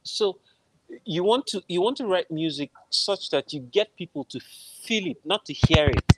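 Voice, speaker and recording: A man's voice: high voice, expressive, moderate pace, background noise. A man from Nigeria with a Nigerian accent, speaking conversationally as a film composer guest on a video call. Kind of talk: conversational interview answers. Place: room at home, on a video call. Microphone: wired earphones on a video call.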